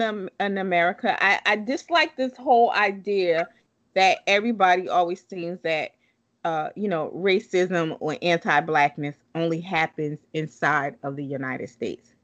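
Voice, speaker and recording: A woman's voice: medium pitch, a bit bright. A woman co-hosting a casual book discussion, talking emphatically and bluntly, often repeating herself to drive a point home.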